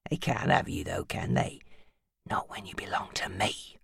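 A brutal, possessive man from an audiobook drama, acted out by a woman reader. He is hoarse and gravelly and full of menace, able to bark a demand or hiss it in a harsh murmur. The sound is close and dry. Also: a bass voice, lively intonation, normal speed, no echo, voice pushed down, full character voice. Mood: possessive, menacing. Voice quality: hoarse harsh whisper, sudden barks. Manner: menacing, swings between barking and murmuring. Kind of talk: audiobook character acting.

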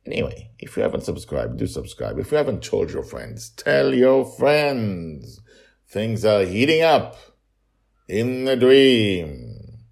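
Low gravelly chatty man. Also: sing-song, slow. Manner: chatty, rambling, self-mocking. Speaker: podcast author and narrator. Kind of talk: casual podcast outro and credits.

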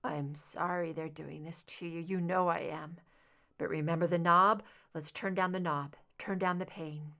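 A male agent speaking low and soft, soothing his partner through a painful hypnosis session. His voice is hushed and steady, as a woman narrator voices him. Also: unhurried. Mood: tender. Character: gentle anguished male agent guiding hypnosis.

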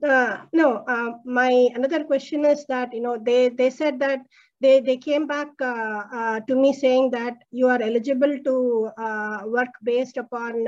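A woman's voice: a little high, a little mellow, highs cut off. A woman from India calling into an advice show, explaining at length with many hesitant fillers. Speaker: caller.

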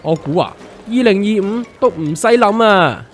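A man as a lively, wry Hong Kong investor pal. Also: high-pitched, moderate pace. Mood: wry explaining. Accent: Hong Kong Cantonese. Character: wry, well-informed, teasing investor friend. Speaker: voice actor in a radio drama. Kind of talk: scripted comedic dialogue. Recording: music under the voice.

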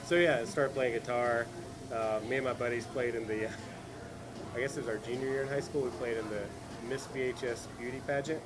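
A man's voice: mid-range voice, fairly even intonation, normal speed, noisy background. A man with a Valdosta Southern accent talking casually in an easygoing, rambling way. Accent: Southern US, Valdosta Georgia. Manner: easygoing, rambling with fillers and restarts. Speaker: guest author and musician. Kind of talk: casual chat.

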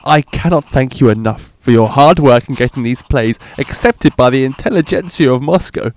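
A man's voice: medium pitch, moderate pace, natural voice. A man voicing a pompous, dim male playwright reading his letters aloud. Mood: earnest. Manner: theatrical letter reading, drawn out.

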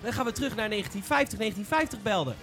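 A man's voice: high voice, animated, racing, fairly bright tone, soft background music. A man hosting a casual gaming podcast in an informal, enthusiastic manner. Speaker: podcast host.

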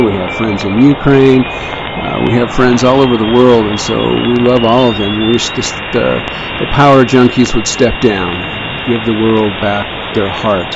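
A male radio host talking casually on air in a relaxed, rambling way, with many fillers. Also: mid-range voice, vocal fry, highs cut off. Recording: noisy shortwave radio reception.

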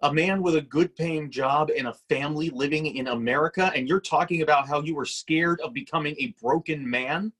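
An actor playing a weary, resentful grown son in a dramatic table read, with a slightly husky voice and a halting delivery. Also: male voice, on the high side, fairly bright tone. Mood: sarcastic, hurt. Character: resentful, weary grown son confronting his absent father. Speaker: actor in a screenplay reading.

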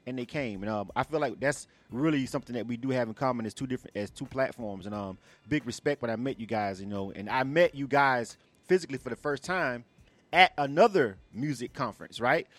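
A man's voice: mid-range voice, a little fast, dry recording. A man hosting a casual radio interview, talking colloquially and often checking that the listener follows.

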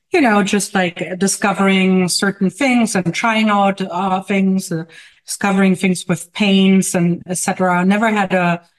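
A woman's voice: lowish voice, rough recording. A middle-aged woman from Germany with a German accent, talking casually about herself as a guest, with frequent hesitations. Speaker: guest artist and teacher. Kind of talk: casual autobiographical interview talk.

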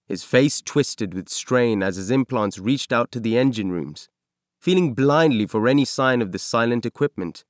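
A man reading a science fiction novel aloud as scripted narration, in measured, expressive storytelling. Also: mid-range voice, no echo, studio quality. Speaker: audiobook narrator.

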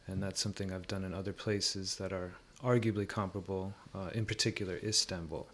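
A man with a fairly low voice talks through ideas in a conversational way. He is reflective and often halting, pausing to search for the right words. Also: little pitch movement, a little hiss or hum. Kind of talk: thoughtful conversational discussion.

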